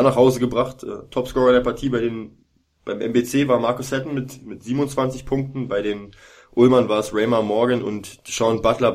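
A man co-hosting a sports podcast, recapping games in a halting way with many filler sounds. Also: on the low side, a little mellow. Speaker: podcast co-host.

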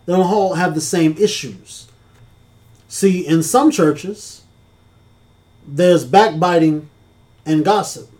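A man's voice: on the high side, slow pace, pauses often, a little mellow. A man co-hosting a casual church-talk podcast, speaking earnestly with a preacherly cadence.

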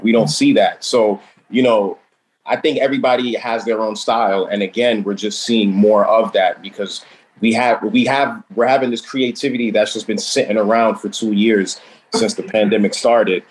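A man's voice: fairly low pitch, fairly even intonation, normal speed, slight background noise, rough recording. A man joining an interview over a remote connection, speaking in an easygoing, thoughtful way. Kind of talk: casual interview chat. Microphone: remote call connection.

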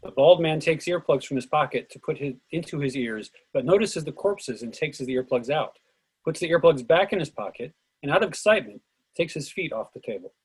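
A man's voice: fairly high pitch, lively intonation, fairly quick, slightly rough, rough recording. A man reading a play's stage directions as scripted narration.

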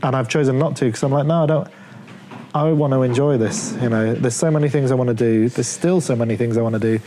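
A man's voice: mid-range voice, fast talker, background noise. A man, an artist guest, talking casually and rambling with many restarts about his life. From England, born Plymouth, raised Leeds. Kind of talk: casual conversation.

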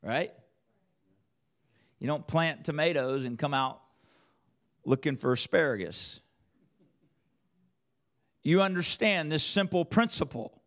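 A man's voice: on the high side, expressive, takes it slowly, long pauses, a bit bright. A man preaching a sermon as a church elder, speaking emphatically with repeated phrases and rhetorical questions. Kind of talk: sermon with scripture quotation.

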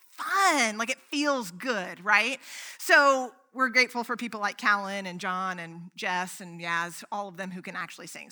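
A woman pastor with a high, very bright voice talks to her congregation in a loose, playful way. Her voice is strong, and her casual asides mix easily with the preaching. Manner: chatty and playful, with frequent "like" fillers. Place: church sanctuary.

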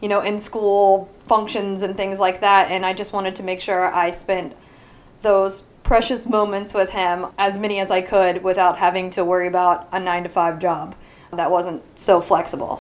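A woman's voice: mid-range voice, level tone, moderate pace. A woman in her thirties from Southwest Florida, a business owner answering interview questions in a friendly way and laughing often.

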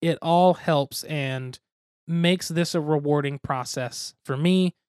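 A young man with a fairly high voice co-hosts a casual podcast, rambling along and joking at his own expense. The sound is dry and close, with no room around it. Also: slow pace, a little mellow, smooth, no room sound. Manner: rambling, self-deprecating, jokey. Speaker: podcast co-host.

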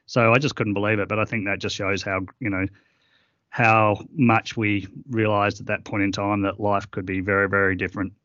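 A middle-aged man guesting on an interview, talking earnestly and haltingly with many fillers. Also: lowish voice, flat delivery, bright, forceful tone, no room sound, highs cut off. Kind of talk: reflective interview conversation.

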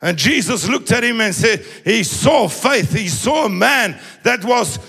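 A man preaching a sermon in a sing-song manner that rises into shouts. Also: sits high, expressive, unhurried, husky.

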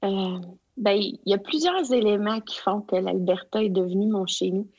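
A woman in her forties from Quebec, with a Quebec French accent, chatting as an interview guest and pausing often with fillers and restarts. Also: medium pitch, a little mellow, no room sound, dull audio. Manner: hesitant, many fillers and restarts. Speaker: guest, provincial education consultant. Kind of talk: casual interview conversation.

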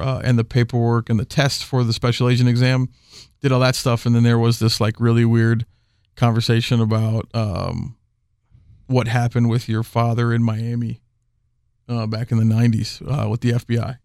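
A man's voice: mid-range voice, steady tone, moderate pace, a little mellow, no echo, clean studio sound. A man talking casually as a podcast guest, rambling with many fillers and restarts. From Houston area. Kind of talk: casual conversation.

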